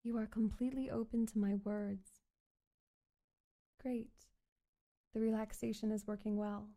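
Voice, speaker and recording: A woman performing an ASMR hypnosis roleplay as a calm, controlling doctor, speaking in a soothing, repetitive, coaxing way. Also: mid-range voice, unhurried. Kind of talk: ASMR hypnosis roleplay, guided relaxation script.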